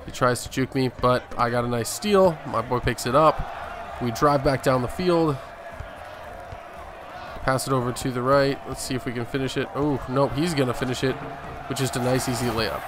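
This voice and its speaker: Male gaming host, mid-pitched, cocky casual play-by-play of his own moves, noticeable game noise behind. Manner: boastful, narrating each move. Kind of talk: casual gameplay commentary.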